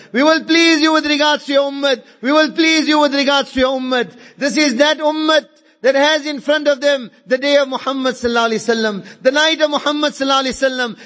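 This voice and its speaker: A man's voice: extremely high pitch, sharp tone. A man delivering an impassioned Islamic sermon, fervent and emphatic, repeating phrases for effect and mixing Arabic and Urdu phrases into English. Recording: long reverberant hall echo.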